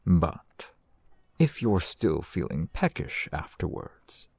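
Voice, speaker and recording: A man acts out a shrewd, courteous male shopkeeper in a fantasy ASMR roleplay. His voice is low and husky, moving through formal, polite phrases with lively rises and falls. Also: no echo, heavily acted. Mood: friendly, courteous. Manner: formal, wordy, measured, polite. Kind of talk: ASMR roleplay, scripted character acting.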